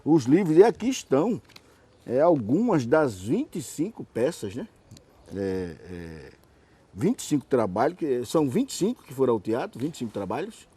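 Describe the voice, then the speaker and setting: A man's voice: medium pitch, sing-song, normal speed, mellow, slightly rough. A man hosting a conversational TV interview, rambling and often repeating his phrases. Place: TV studio.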